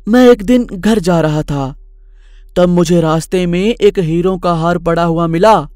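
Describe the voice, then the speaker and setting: Man putting on a high, pleading servant voice. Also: measured pace, a little mellow, no room sound, clean studio sound. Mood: ashamed, pleading. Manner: pleading, deferential. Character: whiny, ashamed, repentant male shop servant. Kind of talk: cartoon moral story dialogue.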